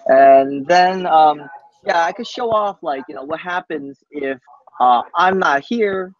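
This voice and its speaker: Higher-voiced man, muffled call audio. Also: no echo. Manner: halting, informal, often tags sentences with "basically". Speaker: software developer giving a live demo. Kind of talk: casual technical demo on a team call. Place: coworking space, on a group video call. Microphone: video call microphone.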